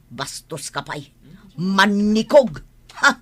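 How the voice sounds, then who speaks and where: A woman's voice: medium pitch, sing-song, takes it slowly, fairly bright tone, heavily acted. A woman playing an indignant mother in an audio drama, scolding and confrontational as she guards her daughter. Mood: furious.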